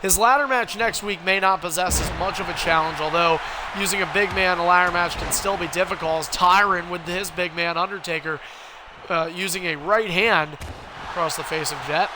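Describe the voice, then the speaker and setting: A man with a cold, sounding hoarse, hosting a game livestream and calling the action play-by-play like a wrestling announcer. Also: high voice, moderate pace, noisy background. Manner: wrestling-announcer calls, rising and punchy. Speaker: stream host and commentator.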